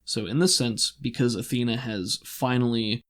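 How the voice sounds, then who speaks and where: A man with a gravelly voice, running a tabletop roleplaying game as game master, telling the story and explaining in a deliberate way. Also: medium pitch, steady tone. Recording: voice over background music.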